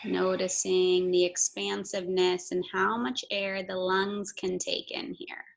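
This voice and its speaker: Slow-paced woman guiding yoga, creaky voice. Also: lowish voice, little pitch movement, low-quality recording. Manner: soothing, measured cueing with audible breaths. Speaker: yoga instructor. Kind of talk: guided yoga and breathing instruction.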